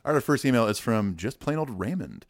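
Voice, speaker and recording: A man's voice: medium pitch, very animated, fast, over loud music. A man co-hosting a podcast, chatting in an easygoing, agreeable way and reading listener emails aloud. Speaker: podcast co-host and musician.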